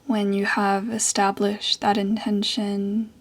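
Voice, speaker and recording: A woman leading a guided meditation as a yoga teacher, speaking softly and breathily in a soothing way with long pauses. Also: mid-range voice, monotone.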